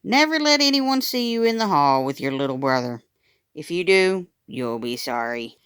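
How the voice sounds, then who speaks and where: Animated woman reading a children's story. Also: sits low, normal speed, smooth, no echo, studio quality. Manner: animated, dramatic. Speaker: storytime reader. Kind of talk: expressive children's book read-aloud.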